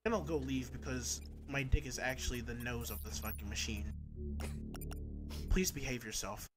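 A man voicing an irate, bombastic mad-scientist villain with a creaky voice in a comedic game fandub. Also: mid-range voice, steady tone, normal speed, over music, lightly acted. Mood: smug.

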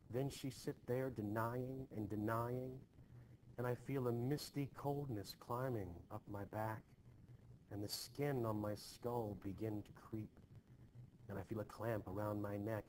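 Excitable eighteen-year-old servant girl, anxious and puffed up, period stage drama, read by a man, unhurried. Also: fairly low pitch, slight background noise, natural voice, rough recording. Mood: frightened, dramatic. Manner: excitable, archaic rustic speech. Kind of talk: expressive play reading.

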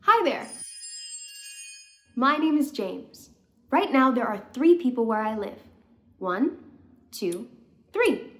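A woman's voice: fairly high pitch, sing-song, slow pace, long pauses, full character voice. A young woman reading the part of a cheerful young boy, a proud big brother, in a children's storybook. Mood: cheerful, excited.

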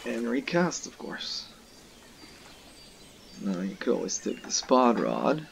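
A young man giving relaxed, casual commentary to viewers while he plays a fishing game. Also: mid-range voice, takes it slowly, creaky, a little hiss or hum. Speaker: gaming video host. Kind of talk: casual let's-play commentary.

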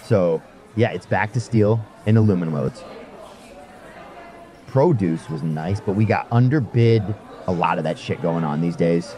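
Deep, mellow, weary space hauler played by a man. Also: measured pace. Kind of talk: science fiction audio drama acting.

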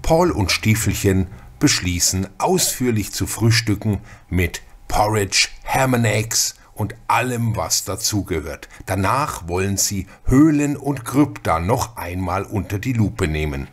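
Deep, slow-paced male narrator. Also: animated. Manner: measured, atmospheric storytelling. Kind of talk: scripted radio drama narration.

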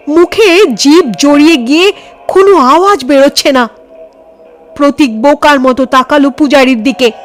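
A woman narrating a horror story in an expressive, suspenseful, scripted storytelling style. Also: high voice.

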